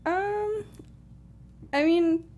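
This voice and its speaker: A woman's voice: extremely high pitch, very slow, darkish tone. A woman co-hosting a movie discussion show, chatting casually and giving her opinions in a conversational style.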